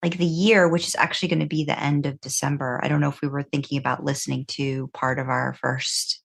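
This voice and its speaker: A woman's voice: very deep voice, flat delivery, brisk, gravelly. A woman co-hosting a podcast in casual chat, mostly listening and giving short, supportive replies. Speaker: podcast co-host.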